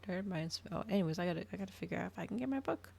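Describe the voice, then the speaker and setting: A woman's voice: lowish voice, animated, rapid-fire, creaky, a little mellow. A woman livestreamer chatting casually to her viewers, rambling and thinking aloud as she goes. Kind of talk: casual chat to stream viewers.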